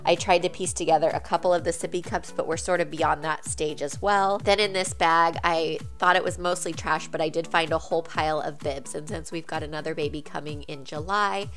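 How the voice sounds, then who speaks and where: A woman's voice: sits low, fairly even intonation, fairly quick, few pauses, soft background music. A woman in her thirties, a bit congested, chatting candidly through a home declutter vlog voice-over. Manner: chatty and candid, friendly asides to viewers. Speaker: home vlogger.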